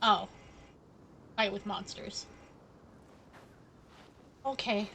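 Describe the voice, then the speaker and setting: A woman's voice: medium pitch, steady tone, extremely slow, gravelly, noisy background. A woman live streaming a game, chatting casually and playfully with viewers. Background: game music.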